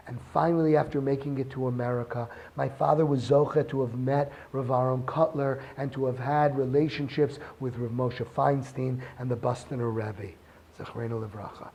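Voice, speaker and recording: A man's voice: a little high, darkish tone. Earnest man telling a family story. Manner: earnest storytelling, sprinkled with Hebrew and Yiddish terms. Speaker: speaker giving a weekly Torah talk. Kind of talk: spoken Torah lesson with personal story.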